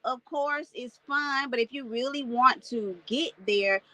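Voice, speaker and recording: A woman in her thirties from North Carolina with a squeaky voice, chatting casually as an interview guest, laughing often and using lots of fillers. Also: measured pace, pushed and bright, no echo. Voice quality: self-described squeaky voice. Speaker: guest artist.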